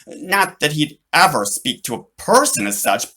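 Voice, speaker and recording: A man reading his own children's fantasy story aloud in an animated, dramatic way, drawing out words for emphasis. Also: fairly high pitch, normal speed.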